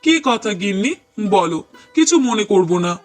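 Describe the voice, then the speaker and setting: A man's voice: sits high, big pitch swings, a little slow. A man playing an affectionate young husband in a cartoon, speaking earnestly and tenderly with emphatic repetition. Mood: tender, reassuring. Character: affectionate young husband, delighted father-to-be, gentle and doting. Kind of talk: cartoon dialogue acting. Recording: voice over prominent music bed. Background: music.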